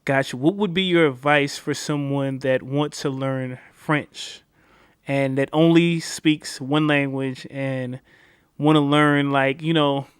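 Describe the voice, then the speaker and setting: A man's voice: mid-range voice, fairly even intonation, takes it slowly, clean tone, dry recording, clean studio sound. A man hosting a casual interview, laid-back, asking long rambling questions full of "you know" and "like". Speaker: host.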